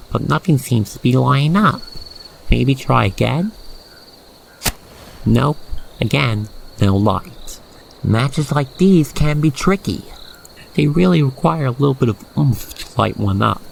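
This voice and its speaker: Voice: medium pitch, vocal fry, low-quality recording. A narrator guiding the listener through a scripted ASMR roleplay in a soothing, playful storytelling manner. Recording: faint noise with chirping crickets. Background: night insect ambience.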